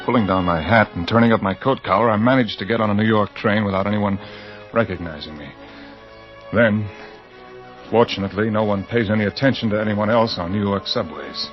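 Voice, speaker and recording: An American actor in an old-time radio drama, playing a bewildered young mystery writer who narrates in a hesitant, brooding way. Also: male voice, fairly low pitch, fairly quick, lightly acted. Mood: brooding, uneasy. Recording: dull old radio recording, music behind.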